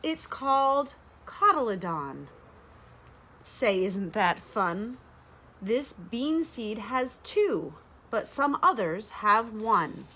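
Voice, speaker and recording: Woman in her thirties, high-pitched voice, unhurried pace, children's read-aloud, expressive phrasing. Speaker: educator reading a picture book aloud. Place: bright sunroom with large windows, indoors.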